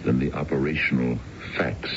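A man playing a crooked business executive in a radio crime drama, clipped, authoritative and gravelly. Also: a bass voice, steady tone, moderate pace. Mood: conspiratorial, businesslike. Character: crooked male business executive, brisk and self-important. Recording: muffled, noisy old radio broadcast recording.

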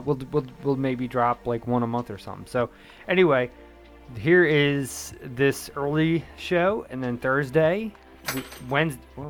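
A man hosting a podcast, giving a loose, rambling introduction with many fillers. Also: medium pitch. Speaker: podcast host. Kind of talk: casual chat.

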